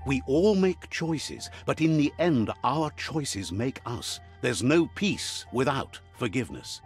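An actor playing a wise, philosophical storyteller narrating a fantasy game in a solemn, measured way. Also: male voice, mid-range voice, expressive. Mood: solemn, reflective. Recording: music behind the voice.

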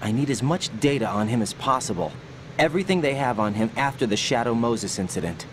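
An earnest young American male operative voiced by an actor in a video game cutscene. His mid-pitched voice is determined and serious, with clear vehicle noise and ambience behind it. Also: expressive, fairly quick, lightly acted. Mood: businesslike.

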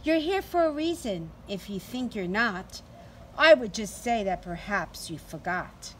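A middle-aged woman doing an expressive, deliberate read-aloud storytime for young children. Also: mid-range voice, rough recording. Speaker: teacher reading to children. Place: outdoors in a sunny backyard. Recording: outdoor ambient noise.

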